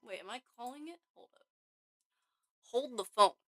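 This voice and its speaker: A woman's voice: a little high, lively intonation, normal speed, bright, forceful tone. A young woman streaming her game development, chatting casually to her viewers while she codes, her voice worn from a week of talking. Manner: rambling, self-interrupting, talks to chat. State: voice tired from overuse. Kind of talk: casual live-stream chat while coding.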